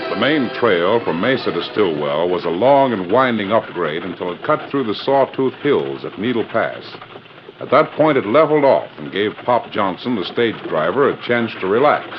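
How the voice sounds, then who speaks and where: A man's voice: fairly low pitch, big pitch swings, normal speed, music in the background. A man narrating a 1940s radio western in a grand, theatrical, declamatory style. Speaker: announcer and narrator. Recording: scratchy, muffled 1940s radio recording.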